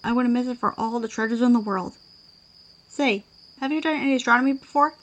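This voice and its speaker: A woman's voice: fairly high pitch, a little fast, fairly bright tone, highs cut off, close to an everyday voice. A woman playing a playful, affectionate female adventurer in an audio drama. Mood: flirty, teasing.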